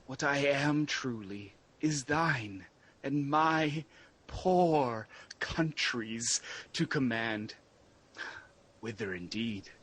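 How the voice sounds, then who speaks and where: A man playing an earnest exiled prince in a Shakespearean radio drama, declaiming verse with a husky voice. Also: mid-range voice, expressive, a bit bright, full character voice. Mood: earnest, resolute. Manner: deliberate, declamatory verse delivery. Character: Malcolm, earnest exiled Scottish prince rallying for war.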